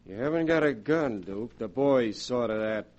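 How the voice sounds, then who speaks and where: A man's voice: mid-range voice, expressive, normal speed, a little mellow, highs cut off, close to an everyday voice. A man acting a racket boss in a 1940s radio crime drama, on a crackly vintage recording. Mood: smug, dismissive. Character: male racket boss, smug turning terrified.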